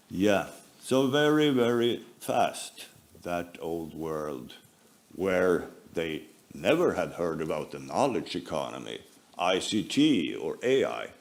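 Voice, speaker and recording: A man in his seventies from northern Sweden, speaking English with a Swedish accent in a measured, pausing conference talk with dry understatement. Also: deep voice, vocal fry.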